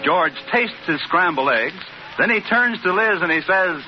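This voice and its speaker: A man's voice: high-pitched, lively intonation, a little slow. A man announcing as scene-setting narrator for an old-time radio comedy, on a muffled recording with music and an audience behind him.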